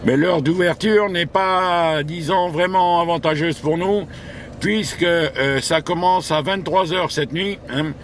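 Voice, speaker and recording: Man, fairly high voice, halting delivery, repeated words, casual ham radio chat, light background noise. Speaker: amateur radio operator.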